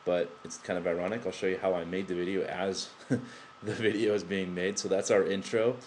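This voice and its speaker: A man's voice: deep voice, little pitch movement, normal speed, darkish tone, a little hiss or hum. A man talking through a computer tutorial into his laptop's built-in microphone, rambling with many fillers and restarts. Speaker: tutorial presenter. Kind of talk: unscripted screen-recording tutorial.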